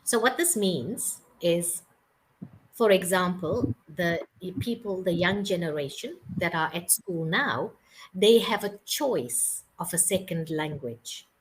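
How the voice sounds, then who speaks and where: Slow, patient South African woman tutor. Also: fairly low pitch, lively intonation, slight background noise, rough recording. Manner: patient, explanatory, checks understanding with "okay?" and "right?". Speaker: English tutor. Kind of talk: casual video-call conversation. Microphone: video call.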